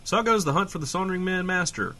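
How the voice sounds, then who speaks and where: A man playing a glib, excitable small-town radio host in scripted comedy banter. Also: sits high, quick pace. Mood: curious.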